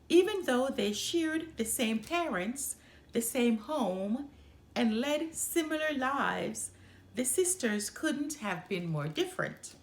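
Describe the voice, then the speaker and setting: Slow, very expressive woman storyteller, slightly creaky. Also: sits high. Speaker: storytime host. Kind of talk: expressive children's storybook narration.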